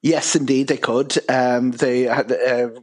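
Husky Irish man, halting expert commentary. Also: mid-range voice, little pitch movement, darkish tone. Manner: halting, fragmented delivery with many false starts. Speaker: travel and aviation journalist, expert guest. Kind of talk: live radio interview commentary.

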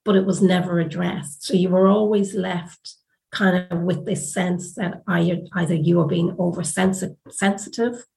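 An Irish woman academic giving personal testimony in an interview, speaking in a reflective, deliberate way. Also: on the low side, fairly even intonation, gravelly, a little mellow, poor audio. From Ireland.

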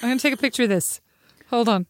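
A woman's voice: a little high, fast talker, no room sound, clean studio sound. A woman with a slight lisp co-hosting a casual chat with her sister, playful and mock-indignant. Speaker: podcast co-host.

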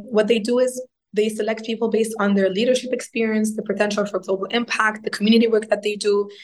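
This is a woman, giving interview answers in a steady, even tone that barely rises or falls. Her pitch sits in an ordinary middle range. She explains things earnestly, step by step. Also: low-quality recording. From Egypt. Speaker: guest astronaut.

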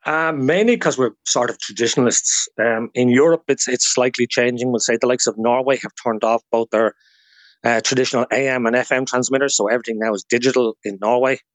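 A man's voice: mid-range voice, fairly even intonation, a little fast, no room sound. Irish man explaining history, dry close sound. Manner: anecdotal, explanatory. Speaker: radio historian, interview guest. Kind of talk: informal historical explanation in a radio interview.

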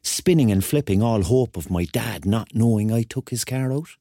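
A man's voice: fairly low pitch, expressive, normal speed, vocal fry, mellow, dry recording, clean studio sound. An actor playing a hapless, self-mocking thirty-year-old man with a Cork accent, telling his story as a rambling, wry confession in a radio drama. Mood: vivid, rueful. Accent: Cork Irish.

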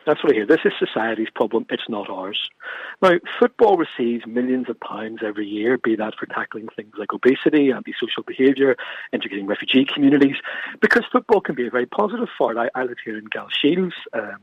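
A man's voice: medium pitch, expressive, fast talker, slightly rough, no echo. A man speaking as a guest on a radio talk show, passionate and emphatic, often repeating words as he presses his point. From from across the water from Scotland. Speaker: guest from an organisation. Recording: phone-like dry radio line.

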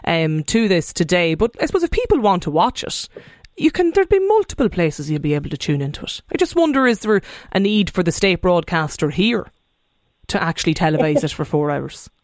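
A woman hosts a radio phone-in, her pitch swinging lively as she reads texts and moves between callers. The sound is dry and close to the mic. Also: brisk, no room sound. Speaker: radio talk show host. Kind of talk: radio phone-in hosting, reading listener texts.

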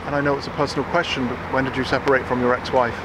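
A man's voice: medium pitch, little pitch movement, rapid-fire. A man working as a radio street interviewer, asking passers-by chatty, teasing questions. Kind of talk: vox pop street interviews. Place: busy city street outdoors. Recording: loud traffic noise outdoors.

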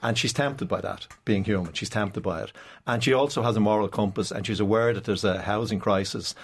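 A man's voice: lowish voice, fairly quick, creaky, breathy edge. An Irish man speaking as a writer in a radio interview, explanatory and repeating points for emphasis. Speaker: playwright, interview guest.

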